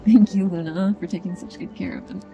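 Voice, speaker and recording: A woman's voice: on the low side, fairly even intonation, brisk, mellow, lo-fi sound, faint music behind, close to an everyday voice. An actress playing a devoted, grateful working single mother in an audio drama.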